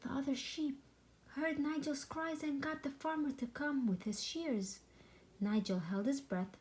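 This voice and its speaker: Slow, expressive woman storyteller. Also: high voice. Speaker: storybook reader. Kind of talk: expressive children's read-aloud narration.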